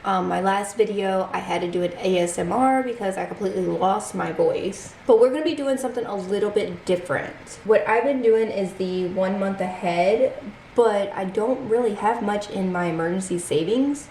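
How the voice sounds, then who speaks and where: A congested woman talks casually through her weekly budget, reading out numbers at an unhurried pace. Her voice sits at a middle pitch and rings a little in an echoey room, with a faint hum of noise behind her. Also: dark tone. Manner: chatty, reads out figures slowly. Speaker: budgeting channel host.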